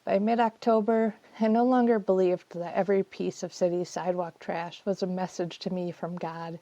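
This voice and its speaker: A woman with a slightly low, soft-edged mellow voice reads a personal essay from a script. The recording is dry and close, with a dull, lo-fi sound. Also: level tone, normal speed, no room sound. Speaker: essayist reading own work.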